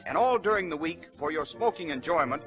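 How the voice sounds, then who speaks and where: A man's voice: a little high, expressive, fairly quick, over music. An American man announcing a scripted 1930s radio commercial with a polished salesman's emphasis, on an old broadcast recording. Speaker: radio announcer reading sponsor ads.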